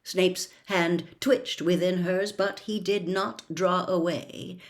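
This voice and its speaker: A woman reading a novel aloud as a storyteller, in a dramatic, deliberate, expressive audiobook style. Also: on the low side.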